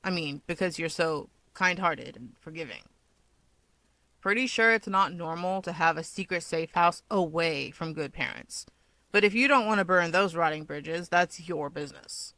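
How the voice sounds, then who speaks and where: A woman's voice: lowish voice, normal speed, bright, forceful tone, no room sound. A woman voicing a taunting, dismissive young man with a grudge in a fan fiction audiobook. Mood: cutting sarcasm. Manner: sardonic, dismissive.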